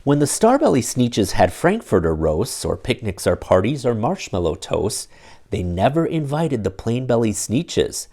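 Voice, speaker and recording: A middle-aged man reading a children's picture book aloud, expressively, pausing to explain things to young listeners. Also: medium pitch, very animated, a little mellow. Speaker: storytime reader.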